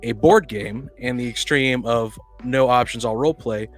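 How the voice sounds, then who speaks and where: A man's voice: mid-range voice, moderate pace, faint music behind. A man on a podcast panel in casual debate, arguing insistently and cutting in to press his point. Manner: argumentative, insistent, interrupting.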